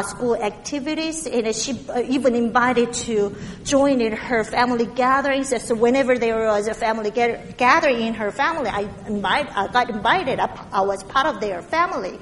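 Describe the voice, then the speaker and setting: A woman from Korea with a heavy Korean accent, preaching a sermon in a halting way, with many fillers and restarts. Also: on the high side, big-room sound, a little hiss or hum.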